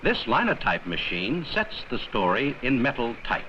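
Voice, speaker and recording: A man reading scripted 1950s educational film narration in a measured, explanatory manner with simple, plain sentences. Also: mid-range voice, wildly swooping pitch, pushed and bright, lo-fi sound. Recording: worn, noisy 1950s 16mm film soundtrack.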